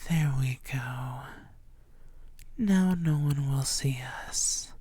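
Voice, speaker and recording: Woman acting a slow, low-key predatory female vampire. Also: warm tone, no echo. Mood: menacing, coaxing. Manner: teasing, breathy half-whispers, slow deliberate delivery. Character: possessive, flirtatious, menacing female vampire stalking prey. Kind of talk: intimate roleplay audio acting.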